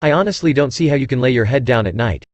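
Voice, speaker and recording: A man's voice: medium pitch, expressive, quick pace, no room sound. Reproachful man in dry, close sound. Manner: reproachful, rhetorical. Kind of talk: scripted accusatory narration.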